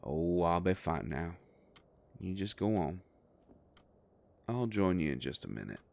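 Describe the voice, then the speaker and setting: A man acts out a gruff werewolf private eye in an audio drama. His voice is extremely low and full of gravel, and he takes his time with every word. He comes across as a protective, sardonic boss, menacing in a folksy tough-guy way. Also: very animated, darkish tone, exaggerated voice. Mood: reassuring. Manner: slow, drawling, threatening, folksy tough-guy talk. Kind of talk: dramatic roleplay audio monologue.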